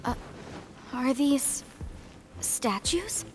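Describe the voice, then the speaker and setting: A woman's voice: high-pitched, animated, extremely slow, soft background music, exaggerated voice. A woman playing an earnest, principled young adventurer in a video game, speaking expressively. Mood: curious.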